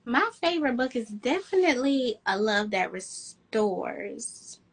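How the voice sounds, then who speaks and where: A woman romance author in an interview, chatty and enthusiastic, with a high-pitched voice. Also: sing-song, a little slow, husky. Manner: chatty, enthusiastic, quotes herself with "girl". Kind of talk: casual interview chat.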